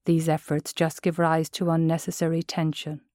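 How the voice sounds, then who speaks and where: A woman with an Irish accent gives measured, gentle guided meditation narration as a mindfulness instructor. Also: low-pitched, completely flat delivery, no echo, studio quality.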